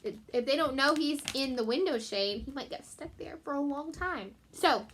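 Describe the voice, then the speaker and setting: Bright-voiced woman reading to children. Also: high voice, moderate pace, slight background noise. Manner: expressive, simple teacherly explaining. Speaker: teacher reading aloud to pupils. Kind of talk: children's storybook read-aloud with chatty asides.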